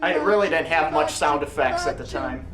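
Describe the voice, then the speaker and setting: A man's voice: fairly high pitch, moderate pace, roomy sound, music in the background. A man giving casual, unscripted commentary on his own series, rambling and often interrupting himself. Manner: rambling, chatty, self-interrupting. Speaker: video creator giving commentary.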